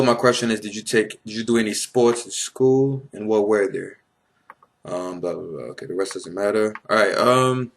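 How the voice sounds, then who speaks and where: Husky-voiced tired young man, fast talker. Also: on the low side. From Ivory Coast. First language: French. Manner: blunt, swears freely, rambling asides. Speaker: vlogger. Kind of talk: casual storytelling to camera.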